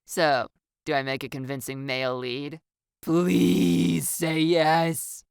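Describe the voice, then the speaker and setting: A woman voicing a playful, excitable young woman with a bubbly, teasing, theatrical manner in an audiobook story. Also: low-pitched, slow pace, creaky, clean tone, no echo, clean studio sound, voice pushed up, full character voice. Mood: playful excitement. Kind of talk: audiobook character dialogue.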